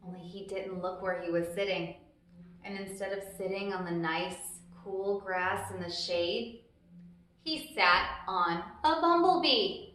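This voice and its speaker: A woman's voice: mid-range voice, a little slow, sharp tone. A woman hosting a storytime, reading a children's book aloud expressively and explaining things simply for young listeners. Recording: very echoey, reverberant room.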